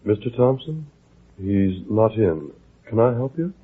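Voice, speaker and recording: An actor playing a polite, subdued undertaker's assistant, deferential and formal, in classic radio drama. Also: male voice, lowish voice, expressive, a little slow, breathy edge. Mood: courteous, puzzled. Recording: muffled, lo-fi vintage radio recording.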